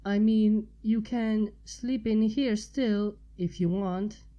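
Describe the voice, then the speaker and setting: A woman's voice: mid-range voice, steady tone, takes it slowly, dark tone, dull audio, natural voice. A woman voicing a flustered, bashful female unicorn who mumbles and stammers in a story reading.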